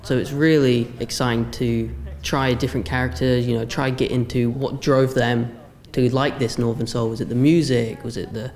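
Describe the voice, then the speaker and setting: A man's voice: mid-range voice, flat delivery, moderate pace, darkish tone. Young English man, reflective interview talk. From England. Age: young adult. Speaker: student voice actor.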